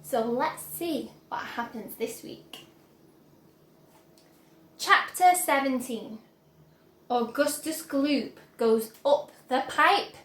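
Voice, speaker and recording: A young woman reading a children's story aloud with expressive, dramatic delivery. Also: high voice, takes it slowly, long pauses, a little hiss or hum. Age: young adult. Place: bright plant-filled room at home. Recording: roomy indoor echo.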